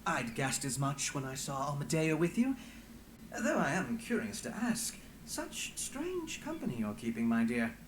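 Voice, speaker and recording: An actor playing a sly, glib bard and underworld agent in a video game, with a flamboyant, teasing and florid delivery. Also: male voice, on the high side, wildly swooping pitch, measured pace, slight background noise, low-quality recording. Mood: arch. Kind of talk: scripted video game acting.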